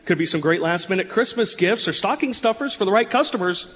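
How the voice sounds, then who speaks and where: Radio play: pushy, self-promoting memorabilia dealer, high-voiced, swooping delivery, live hall sound. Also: male voice, fairly quick, slight background noise. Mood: brisk, friendly. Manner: animated, pushy salesman's patter. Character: eager, self-promoting old-school sports memorabilia dealer and podcaster. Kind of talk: live radio comedy-drama acting. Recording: echoey hall, live stage performance.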